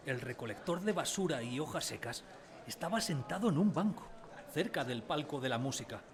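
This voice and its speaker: A man's voice: mid-range voice, noisy background, lightly acted. An actor narrating a radio drama as a man recalling his village childhood, wistfully, in a literary style. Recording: music behind the voice.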